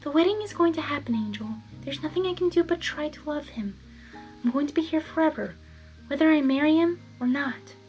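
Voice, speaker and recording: Girl doing a shy lil female pegasus pony, super high n fretful, storybook read, music behind. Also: animated, brisk, poor audio. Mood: resigned. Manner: soft, fretful, earnest. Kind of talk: dramatised storybook reading.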